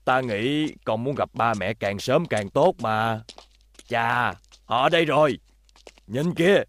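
Male actor as a kind elderly scientist, even mid-pitched voice, patient and fatherly. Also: slow, dry recording, natural voice. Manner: calm, fatherly and explaining. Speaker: voice actor in a cartoon dub. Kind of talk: cartoon dubbing. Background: music score.

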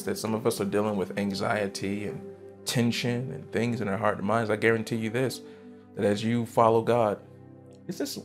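A pastor in his thirties preaches on a church stage into a headset mic, his mid-range voice carrying a gravelly edge. He is fervent and emphatic, swinging from loud, punchy bursts down to hushed asides, with soft music faintly underneath. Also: fairly low pitch. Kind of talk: sermon.

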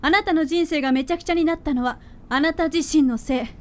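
Woman acting high-voiced, polite young music student. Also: quick pace, darkish tone, close to an everyday voice. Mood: firm, frank. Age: young adult. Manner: polite, composed, direct. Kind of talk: radio drama acting.

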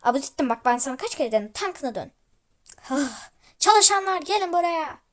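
A woman voicing a bossy, exasperated aquarium boss in a role-play story, scolding loudly. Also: sits high, very animated, normal speed, a little hiss or hum. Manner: loud, scolding.

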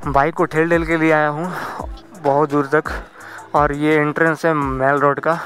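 A man's voice: a little high, level tone, moderate pace. A young man casually guiding viewers through a busy night market, chatting and pointing out directions as he goes. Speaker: travel vlogger. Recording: background music over loud crowd. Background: crowd noise with music.

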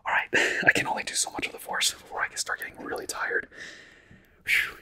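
A man whispering through an ASMR livestream, chatting casually and answering viewers' questions. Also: a little fast. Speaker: ASMR streamer.

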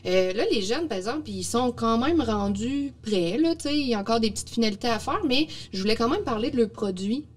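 A woman's voice: fairly high pitch, expressive, fairly quick, hardly any pauses. A woman with a Quebec French accent presenting products in a casual, explanatory podcast chat, recorded on a studio microphone. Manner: informal, explanatory. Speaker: presenter of young people's product projects. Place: small indoor podcast studio. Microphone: studio microphone on boom arm.

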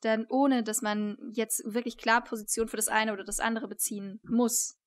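A woman's voice: a little high, level tone, normal speed. A woman in her thirties co-hosting an interview, discussing in a conversational way at a desk microphone in a small room. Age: 30s. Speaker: co-host, interviewer. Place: small attic room, indoors. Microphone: studio microphone on desk.

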